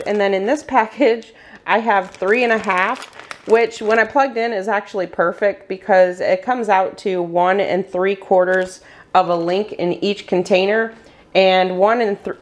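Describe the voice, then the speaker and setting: A middle-aged woman, chatting step by step through a meal prep tutorial as host of her cooking channel. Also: mid-range voice. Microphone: voice-over while hands cook on camera.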